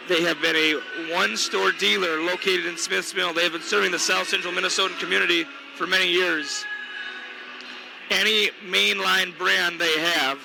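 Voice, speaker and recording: A man doing play-by-play on a local basketball game, lively and reading sponsor spots, his voice wearing thin. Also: high-pitched, vocal fry. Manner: energetic, reads sponsor ads. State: voice weakening. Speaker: play-by-play announcer. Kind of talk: local sports commentary. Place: basketball gym. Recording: noisy gym broadcast. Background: gym ambience, bouncing balls.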